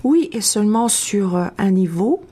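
A woman from France speaking as an interview guest, reflective and halting, with long thoughtful hesitations. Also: medium pitch, animated, warm tone, husky. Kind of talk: casual, reflective interview talk.

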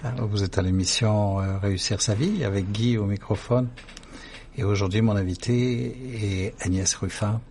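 Low-voiced male radio host. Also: steady tone, moderate pace, darkish tone, a little hiss or hum. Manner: friendly, jokey, short back-channel replies. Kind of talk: radio interview hosting.

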